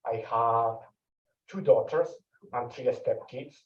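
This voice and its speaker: A man from Colombia, a native Spanish speaker, introducing himself haltingly with simple phrasing. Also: medium pitch, fairly bright tone. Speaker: new agent introducing himself. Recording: far, roomy, dull recording.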